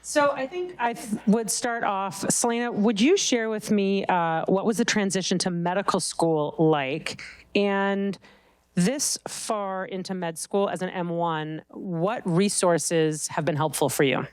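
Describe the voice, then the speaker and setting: A woman moderating a student panel for prospective students. Her voice is smooth and clear, and her pitch is middling. She gives encouraging prompts. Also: unhurried. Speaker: dean for admissions, panel moderator.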